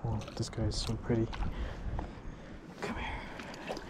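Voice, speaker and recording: Slow, quiet young man fishing outdoors. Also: fairly low pitch, monotone. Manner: quiet, admiring, talks to viewers while fishing. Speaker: fishing vlogger. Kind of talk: casual fishing commentary. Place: outdoors by a mountain lake and stream. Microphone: body-mounted action camera. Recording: outdoor action camera with water noise. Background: water and wind.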